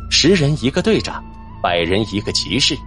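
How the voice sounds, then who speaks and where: A man's voice: mid-range voice, huge pitch swings, normal speed, fairly bright tone. A man reading a fantasy novel as a dramatic audiobook narrator. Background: music.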